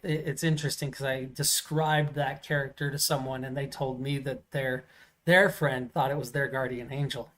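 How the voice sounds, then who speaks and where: Co-host, man, loud carrying voice, rambling storyteller, slightly high. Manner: loud voice that carries; rambling, many fillers and restarts. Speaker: podcast co-host. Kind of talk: casual storytelling chat.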